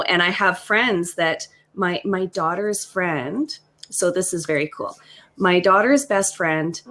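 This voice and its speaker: A woman's voice: mid-range voice, a bit bright. A woman in her thirties from Western Canada, chatting enthusiastically with many fillers on a video call. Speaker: co-host. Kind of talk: casual video-call chat.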